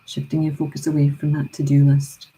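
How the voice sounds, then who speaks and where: Low, husky woman guiding a meditation. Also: brisk, low-quality recording. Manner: calm, soothing, gently repetitive instructions. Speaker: meditation guide. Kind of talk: guided breathing meditation.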